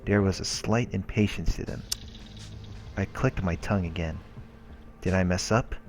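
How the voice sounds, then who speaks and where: A man hosting a let's play, reading visual novel narration aloud in a measured way. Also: low voice, darkish tone. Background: game background music.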